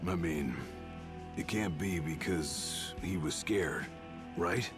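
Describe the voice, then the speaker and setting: An extremely deep young man's voice as a defiant royal bodyguard in a video game. He is gruff, blunt and casual, determined in his delivery, with music playing clearly underneath. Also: takes it slowly. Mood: steady, curious. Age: young adult. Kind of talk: scripted video game acting.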